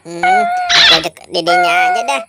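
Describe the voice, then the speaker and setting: A man's voice: extremely high pitch, wildly swooping pitch, brisk, heavy background noise, heavily acted. A man voicing a cheerful, flustered young hero uncle in children's toy-play storytelling, chatty and full of exclamations. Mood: coaxing. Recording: loud music over the voice.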